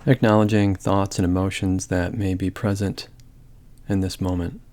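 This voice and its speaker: A man with a lowish voice leads a guided meditation. His delivery is calm and gentle. He speaks in measured phrases, with long silences between them. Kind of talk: guided body scan meditation.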